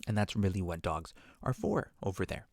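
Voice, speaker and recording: A man speaks in a casual podcast monologue, wandering from point to point with plenty of asides. His voice sits on the low side and has a gravelly, fry-tinged texture. The sound is dry, with no room in it. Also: huge pitch swings, moderate pace, a little mellow, no echo. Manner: rambling, self-deprecating; conversational, many fillers and asides.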